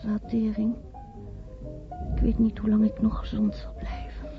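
A woman's voice: medium pitch, completely flat delivery, moderate pace, natural voice. An actress playing a lovestruck, yearning woman of twenty-seven in a romantic radio play. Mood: dreamy, yearning. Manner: yearning, rapt. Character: dreamy, lovestruck 27-year-old variety dancer. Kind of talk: romantic radio drama acting. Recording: dull old radio recording, music behind. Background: loud music.

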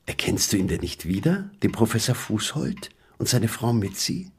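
An actor in a radio drama playing a pharmacist who patiently placates his sick wife, with a breathy, husky voice. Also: fairly low pitch, very animated, normal speed, darkish tone, exaggerated voice. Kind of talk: literary radio drama acting.

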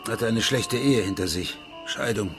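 An actor playing a troubled, guilt-ridden ex-detective who answers tersely and defensively in a German radio crime drama. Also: male voice, on the low side, unhurried, lightly acted. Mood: subdued, matter-of-fact. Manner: terse, clipped recollection. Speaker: client being questioned. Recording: studio radio play with music underneath. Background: music.

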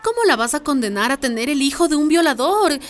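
Outspoken high-voiced village friend. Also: female voice, animated, fast. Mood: firm, persuasive. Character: outspoken, practical village woman, supportive friend. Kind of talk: radio drama acting.

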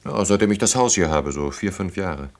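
A stiff middle-aged boss in a radio play, low voice that swings up and down a lot, kinda halting and evasive. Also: male voice, expressive. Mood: reserved, defensive. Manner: halting, evasive, dryly formal. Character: reserved, awkward male department head courting a young woman. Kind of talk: naturalistic German radio play dialogue.

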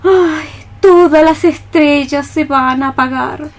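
A woman's voice: high-pitched, takes it slowly. A woman playing a melancholy, dreamy cockroach mystic in radio theatre, sighing her lines as solemn lyrical verse. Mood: mournful.

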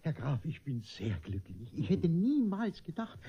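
An actor playing a fawning, flustered rich bourgeois who stumbles over flowery compliments in a radio comedy. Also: male voice, fairly high pitch, huge pitch swings, a little slow, husky, exaggerated voice. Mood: overjoyed. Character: fawning, flustered rich bourgeois man eager to impress nobility. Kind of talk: German radio play comedy acting. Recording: dull, lo-fi old radio recording.

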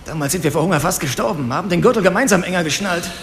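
An actor playing a cocky, self-pitying street criminal in a radio drama, whining and defiant. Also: male voice, high voice, rapid-fire. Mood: defensive, cocky. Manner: whining, defiant, swinging in emphasis. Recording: long reverb, faint background noise.

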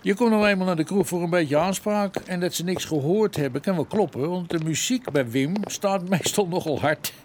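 A man's voice: sits high, normal speed, hardly any pauses, slight background noise, lightly acted. An actor playing a deferential, slightly bumbling policeman, a folksy bar regular, in a radio play. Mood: folksy, explaining. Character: male policeman sidekick, folksy, eager, sheepish bar regular. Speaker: police assistant. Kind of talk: Dutch radio play acting.

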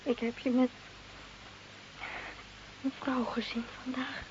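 High-pitched, plaintive village wife. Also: female voice, little pitch movement, takes it slowly, darkish tone. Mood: reproachful. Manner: plaintive, reproachful. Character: jealous, anxious, nagging young village wife. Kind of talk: radio drama acting. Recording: dull, noisy old radio recording.

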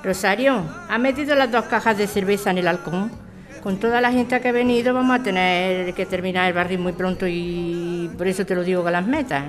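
A woman playing a brisk, caring grown-up daughter who runs a bar, in a naturalistic audio drama. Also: medium pitch, steady tone, soft background music, lightly acted. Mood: stressed, bossy.

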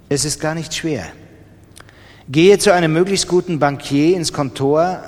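A desperate male gambler played by an actor speaks in a long reverberant hall, his voice slightly high for a man. He pleads as if reading out a letter in a radio drama, agitated and insistent. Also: natural voice. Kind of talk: literary radio play monologue, letter reading.

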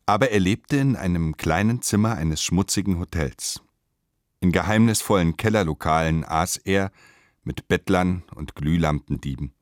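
A man narrating a radio play in a measured, deliberate way, reading literary prose. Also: very deep voice, dry recording, studio quality.

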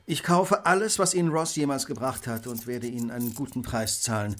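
A man acting in a radio drama as a brilliant, brooding detective, husky, intense and commanding, with clipped deductions. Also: mid-range voice, fairly even intonation, fairly quick, noisy background, close to an everyday voice. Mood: cool, businesslike. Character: brilliant brooding detective, driven by guilt and fierce resolve.

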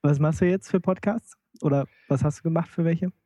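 A man's voice: on the high side, fairly quick, mellow, no echo. A man hosting a casual radio interview, asking teasing, probing questions. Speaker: radio host.

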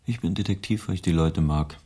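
An actor playing a disillusioned but humane private detective, laconic and dry, in a radio drama. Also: male voice, low voice, fairly quick, mellow, breathy edge. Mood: bitter, weary. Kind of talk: German radio drama acting.

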